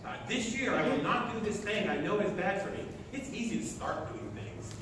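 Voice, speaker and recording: In a big, very echoey lecture hall with clear background noise, an American man of sixty addresses an audience. His voice is on the high side for a man. He gives a motivational talk in short, emphatic phrases. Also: wildly swooping pitch, normal speed. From Oklahoma, United States. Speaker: speaker at a sustainability meeting. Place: large lecture hall, indoors. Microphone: distant camera at the back of the room. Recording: echoey hall from far off, noisy.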